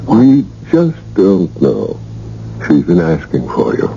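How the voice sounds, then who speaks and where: An actor playing a grave, formal professional man in a radio drama, solemn and measured. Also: low voice, wildly swooping pitch, creaky, warm tone, full character voice. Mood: grave, regretful. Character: grave, formal male professional bearing bad news. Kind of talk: 1980 radio drama acting. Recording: dull, noisy old radio broadcast recording.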